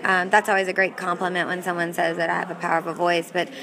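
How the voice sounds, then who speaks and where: A woman's voice: on the low side, monotone, fast, gravelly, sharp tone. A young woman country singer answering interview questions in an upbeat, chatty way, often restarting her sentences. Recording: crowd audible behind. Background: crowd chatter.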